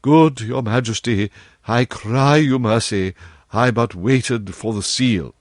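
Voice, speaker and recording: A man's voice: medium pitch, slow, no room sound, studio quality, natural voice. A man voicing a deferential, anxious nobleman in a storybook, with formal, pleading archaic speech. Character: deferential nobleman courtier addressing his king, anxious and humble.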